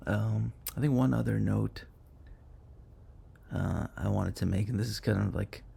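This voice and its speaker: Deep gravelly man explaining casually. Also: steady tone, unhurried, dark tone. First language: Arabic. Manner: conversational, many fillers and self-corrections. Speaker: Arabic language teacher. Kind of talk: informal online lesson on Arabic morphology.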